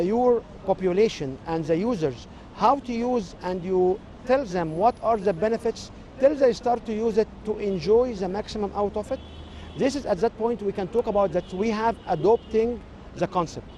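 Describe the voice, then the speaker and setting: Egyptian guy, arabic first language, high voice, explaining stuff in an interview, bit of background noise. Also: moderate pace, mellow. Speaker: interviewed technology expert.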